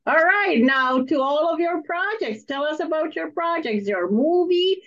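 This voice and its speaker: A woman's voice: high-pitched, animated, unhurried, fairly bright tone. A woman hosting an interview in a conversational style, asking questions. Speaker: interviewer, host. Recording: dull, slightly muffled sound.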